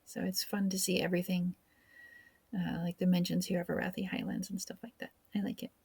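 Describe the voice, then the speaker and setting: A woman's voice: fairly low pitch, little pitch movement, brisk, creaky, a little mellow, slightly rough. Chatty woman doing let's play commentary. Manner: informal, chatty. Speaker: gaming video host. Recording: faint background noise, game ambience.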